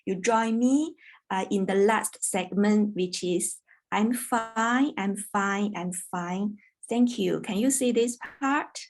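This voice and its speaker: A woman from China who is not an English speaker, teaching a children's English class online in a slow, patient, clear way. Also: medium pitch. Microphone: video call.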